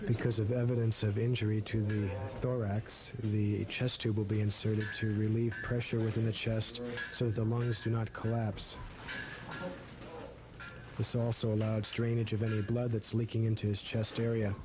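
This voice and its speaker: A man giving measured, formal, explanatory medical narration. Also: lowish voice, little pitch movement. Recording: 1980s amateur video, busy room noise. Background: busy emergency room voices.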